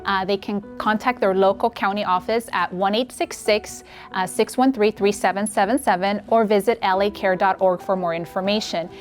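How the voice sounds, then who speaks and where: A woman speaking as a health plan spokesperson, steady and informative. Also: mid-range voice.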